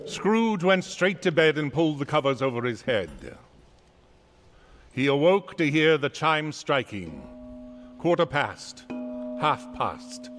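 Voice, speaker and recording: A man tells a story as the narrator of a radio play. His voice is on the higher side, with a long reverberant tail, and the delivery is scripted and literary. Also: fairly bright tone.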